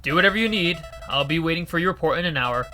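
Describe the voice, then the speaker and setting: A man's voice: on the high side, expressive, fast, sharp tone, soft background music. A man doing a grim, commanding ship captain. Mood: curt. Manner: curt, commanding, barking orders.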